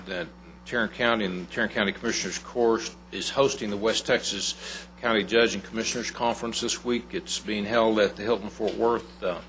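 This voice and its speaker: A man's voice: lowish voice, steady tone, a little fast, a bit bright, a little hiss or hum, poor audio. A man giving agenda announcements to a county court in a plain, businesslike way. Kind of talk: agenda announcements to a public meeting.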